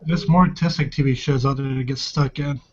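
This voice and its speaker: A man's voice: mid-range voice, steady tone, a little fast, slight background noise. A man making improvised in-character conversation as a player in a roleplaying game. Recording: dull, lo-fi online voice chat.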